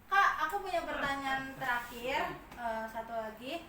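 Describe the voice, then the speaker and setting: A woman's voice: high voice, lively intonation, normal speed. A young woman, hosting a casual promotional chat in an informal, chatty way. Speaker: podcast host interviewing a business owner. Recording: echoey room, distant mic, faint noise.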